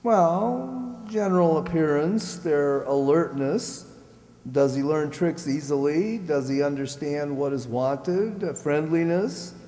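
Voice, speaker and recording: A man's voice: fairly high pitch, takes it slowly, dark tone. A man playing an earnest guest reporting in on an old-time radio show, laying out his points methodically. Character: earnest single male reserve officer reporting in by telephone. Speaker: telephone guest. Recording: echoey hall.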